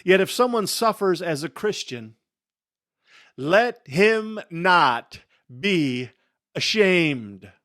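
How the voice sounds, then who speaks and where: A man with a fairly high voice reads scripture as a dramatic performance. His delivery is very slow and solemn, leaning on key phrases and leaving deliberate pauses between them. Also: sing-song, pushed and bright. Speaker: Bible reader.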